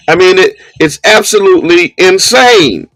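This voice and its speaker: A man's voice: high-pitched, lively intonation, a little slow, a bit bright, dry recording, faint music behind. A man hosting a live call-in discussion in a casual, colloquial way. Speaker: host of a live audio discussion.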